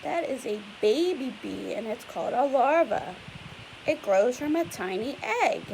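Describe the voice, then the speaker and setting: A young woman reads a picture book aloud in a lively, rising-and-falling voice that sits a bit high. She explains things in a chatty way and keeps asking the children questions. A faint hiss runs under the recording. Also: unhurried, gravelly, rough recording. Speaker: teacher reading aloud. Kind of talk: read-aloud lesson for children.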